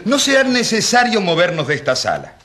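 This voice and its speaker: A man's voice: sits high, wildly swooping pitch, fairly quick, a bit bright, exaggerated voice. A man playing a defiant, impassioned rural priest on trial in a radio drama, rhetorical and challenging. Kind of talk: Spanish-language radio drama acting.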